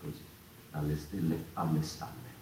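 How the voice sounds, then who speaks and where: An actor in his fifties playing a reflective storyteller, musing and halting in a theatrical monologue. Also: a bass voice, steady tone, a little mellow. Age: 50s. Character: reflective middle-aged male storyteller addressing his people. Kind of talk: theatrical monologue, live stage performance. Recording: very echoey, distant stage recording, faint noise.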